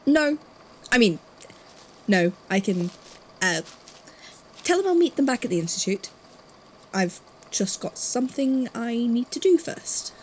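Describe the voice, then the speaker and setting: A woman playing a guarded, thoughtful investigator in an audio drama, speaking haltingly. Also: a little high, expressive, slight background noise, rough recording. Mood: evasive, distracted. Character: guarded, puzzled investigator from a supernatural archive.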